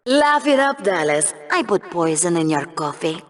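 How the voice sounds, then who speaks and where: Snide, animated game-show co-anchor. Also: female voice, on the low side, moderate pace. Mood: catty. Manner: sardonic, theatrical. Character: snide, sardonic female co-anchor with a mean streak. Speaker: co-host. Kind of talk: scripted video game sports banter.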